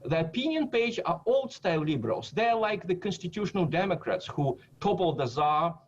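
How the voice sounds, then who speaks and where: A man with a fairly high voice, arguing as a guest commentator on a political panel. He speaks emphatically but haltingly, repeating phrases as he builds a point. The sound is somewhat dull and lo-fi, as over a remote call. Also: very animated. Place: remote call for a quarantine show. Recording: dull lo-fi connection.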